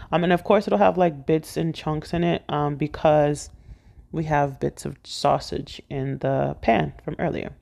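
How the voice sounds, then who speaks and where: A woman's voice: deep voice, gravelly, mellow. A woman giving a casual, conversational voice-over as a home cooking vlogger, explaining things step by step.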